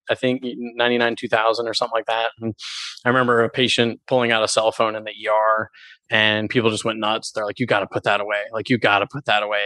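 A man hosting an interview, chatty and quick to laugh, using a lot of "like" fillers. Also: mid-range voice, pushed and bright, no echo. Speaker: interviewer host. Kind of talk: casual interview chat.

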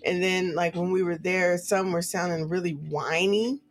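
A woman's voice: lowish voice, fairly even intonation. A woman chatting casually as a co-host, reading viewer comments and laughing often. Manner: slow, giggly, tries playful accents. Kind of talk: casual chat.

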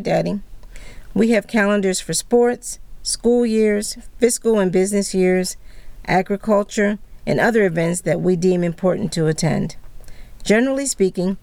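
A woman co-hosting a religious podcast, reading a script in a calm, measured way. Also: medium pitch, gravelly, dry recording. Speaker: podcast co-host.

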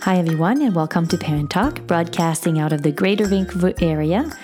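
A woman in her forties hosting a parenting podcast, opening the show and steering the talk between guests in an upbeat, brisk way. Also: lowish voice, mellow, soft background music. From Canada. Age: 40s. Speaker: podcast host.

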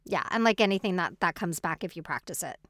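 A woman's voice: lowish voice, expressive, fast talker, a bit bright. A woman hosting an interview, asking short, prompting questions. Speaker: interview host. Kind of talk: conversational interview.

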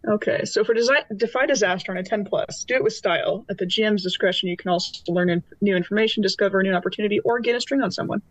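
Lady rpg player, mid pitched, casual chat, close dry sound with no room. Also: racing, hardly any pauses, no room sound, low-quality recording. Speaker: tabletop game player. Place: remote online call.

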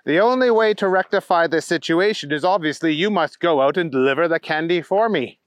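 An indignant, self-important male bunny from a children's story, acted out by a man. He speaks high and animated, lecturing in prim, formal phrases as if deeply put upon. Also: a little fast, smooth, no room sound, natural voice. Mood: insistent, aggrieved. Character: indignant, fussy, pompous talking Easter Bunny, male.

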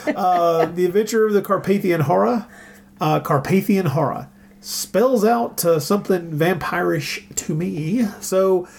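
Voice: medium pitch, unhurried, creaky, a little mellow, breathy edge. A podcast host chatting casually in a musing, rambling and wry way.

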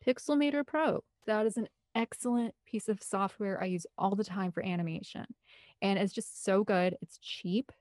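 A woman's voice: mid-range voice, normal speed, a little mellow, no room sound. Woman chatting informally, close dry sound. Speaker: interview guest, film composer and director. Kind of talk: casual, conversational podcast interview.

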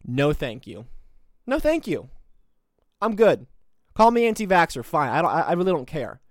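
A man's voice: fairly high pitch, big pitch swings, moderate pace, no echo, clean studio sound. A man in his twenties hosting a solo podcast, talking in a sarcastic, combative, rambling way. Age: 20s. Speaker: podcast host. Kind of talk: opinionated solo commentary.

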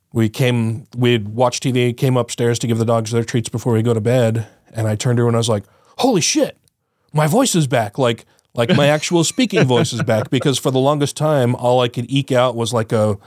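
A man chatting casually on a podcast, his voice still a little congested and nasal. He rambles, swears freely and laughs often. His voice is medium-pitched, recorded close and dry. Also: darkish tone, dry recording. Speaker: podcast co-host. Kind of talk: casual chat.

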